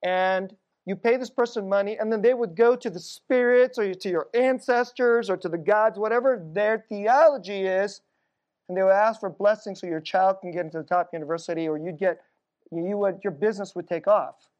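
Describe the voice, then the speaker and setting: A middle-aged Korean American woman telling stories in a sermon, casual and conversational, dropping to a hushed low voice at tense moments. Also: normal speed, dull audio. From United States.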